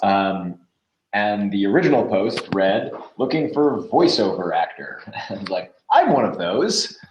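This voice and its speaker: Male podcast guest, fairly low pitch, casual storytelling, roomy echo with faint noise and clicks. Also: big pitch swings, measured pace, muffled recording. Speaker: podcast guest, voiceover actor. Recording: echoey online call with noise, clicks.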